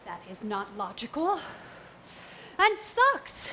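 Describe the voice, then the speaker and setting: Woman as a prim, high-voiced alien lieutenant. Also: wildly swooping pitch, takes it slowly, bright, forceful tone, exaggerated voice. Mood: indignant. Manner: formal, prim. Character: earnest, indignant young female alien lieutenant, strictly logical. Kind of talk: comic stage parody acting. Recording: noisy live stage room.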